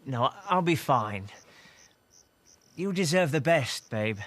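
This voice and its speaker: Casting: younger male comedian, unhurried and hesitant, wistful brave front, period TV drama. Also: mid-range voice, expressive. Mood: brave, affectionate. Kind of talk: television period drama dialogue.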